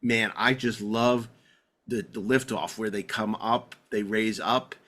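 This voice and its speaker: A man's voice: fairly low pitch, steady tone, slow, fairly bright tone. A middle-aged American man hosting a podcast, chatting casually and enthusiastically in a rambling way. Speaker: podcast host. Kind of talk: casual enthusiastic fan chat.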